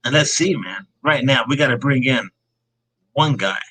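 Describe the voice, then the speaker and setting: A man's voice: mid-range voice, normal speed, pushed and bright, husky, low-quality recording. A man hosting a casual comic book live stream panel, laid-back and peppering his talk with "man.".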